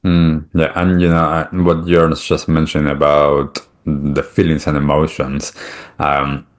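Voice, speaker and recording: Man, low voice, Argentinian accent, native Spanish speaker, middle-aged, discursive, conversational podcast host. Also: monotone, unhurried. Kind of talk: reflective conversational interview talk.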